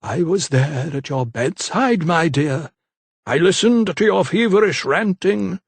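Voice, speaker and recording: A man's voice: fairly high pitch, animated, vocal fry, husky, no echo, voice pushed up, exaggerated voice. A man voicing a menacing, preachy old vicar. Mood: sinister. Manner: slow, unctuous, preaching. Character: zealous, menacing silver-haired old vicar.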